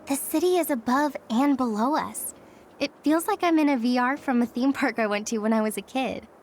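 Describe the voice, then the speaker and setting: An actress in an anime game dub playing a cheerful, chatty young rookie soldier. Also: female voice, high voice, fairly quick, a little hiss or hum, natural voice. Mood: chatty, curious.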